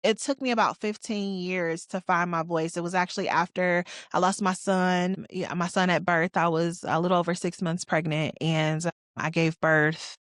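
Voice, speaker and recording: A woman's voice: on the low side, fairly even intonation, moderate pace, few pauses, fairly bright tone, dry recording, studio quality. A woman of forty, hosting her own podcast and talking through her life in a candid, reflective, conversational way with casual slang. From Detroit, USA. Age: 40s. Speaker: podcast host. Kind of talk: personal solo podcast monologue.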